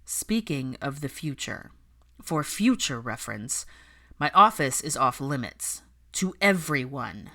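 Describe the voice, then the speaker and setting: A guarded, snide man in an audiobook story, performed by a woman. The voice sits low and moves slowly, each phrase clipped, cold and deliberate. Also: very animated, bright, forceful tone, full character voice.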